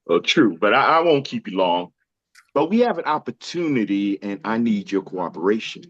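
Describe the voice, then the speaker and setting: A man playing a persuasive, money-minded clinic boss, pressing his case a little haltingly at a drama table read. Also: fairly low pitch, sing-song, fairly bright tone, no room sound. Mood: smoothly pushy. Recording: close, dry, slightly dull audio.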